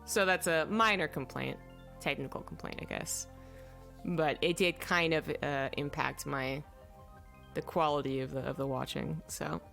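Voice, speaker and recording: This is a young woman with a low-pitched voice giving a casual spoken film review. She rambles, fills gaps with "like" and "um," and stops to search aloud for names. Faint music plays behind her. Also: measured pace, vocal fry, a bit bright. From native English speaker. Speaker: vlogger reviewing films.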